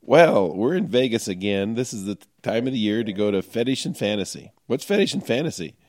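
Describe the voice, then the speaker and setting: A man co-hosting a casual podcast from a bedroom, his voice hoarse, rambling with fillers. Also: lowish voice, animated, brisk, darkish tone. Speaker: podcast co-host. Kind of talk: casual chat. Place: bedroom in a rented house.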